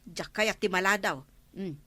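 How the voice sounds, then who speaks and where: An actress with an Ilocano accent playing a bickering village councilor in a radio comedy drama. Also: female voice, mid-range voice, unhurried, fairly bright tone, no echo. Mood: defensive.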